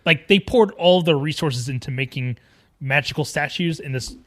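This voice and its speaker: A man's voice: fairly high pitch, normal speed, a bit bright. A man chatting casually and informally as a co-host on a film discussion podcast, recorded outdoors.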